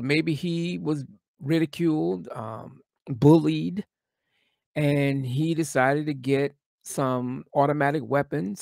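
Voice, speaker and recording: A man chatting casually as a guest on a live stream, hoarse from losing his voice and rambling with lots of fillers. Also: mid-range voice, no room sound, clean studio sound. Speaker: guest speaker.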